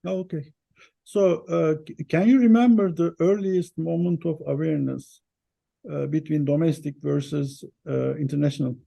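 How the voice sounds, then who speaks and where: Man, interview host, mellow tone, creaky voice, somewhat high pitch, prepared questions and introductions. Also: fairly even intonation, normal speed.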